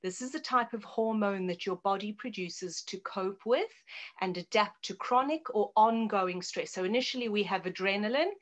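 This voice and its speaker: A woman's voice: medium pitch, moderate pace. A middle-aged woman with a South African accent, giving explanatory interview answers as a health adviser in an articulate way. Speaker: guest naturopath and health adviser.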